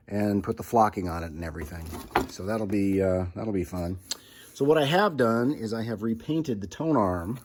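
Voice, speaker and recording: A man's voice: on the low side, a little mellow. A man talking informally through a hands-on restoration project, folksy and thinking aloud as he goes. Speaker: hobbyist restorer presenting own project. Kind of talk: casual hands-on restoration walkthrough.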